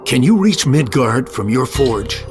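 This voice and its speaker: An actor playing a serious, earnest warrior companion in a fantasy video game cutscene. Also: male voice, mid-range voice, very animated, measured pace, music in the background. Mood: earnest, urgent.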